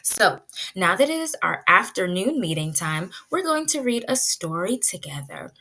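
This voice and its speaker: A woman teaching pre-kindergarten children in an animated, child-directed way, asking questions as she leads a read-aloud. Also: mid-range voice, normal speed, poor audio.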